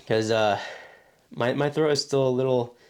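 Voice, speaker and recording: A young man chatting casually in a vlog about his training, his voice hoarse and throaty from a cold, rambling with many fillers. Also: medium pitch, steady tone, normal speed, darkish tone. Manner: loose and rambling, many ums and restarts. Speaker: vlogger training for a triathlon.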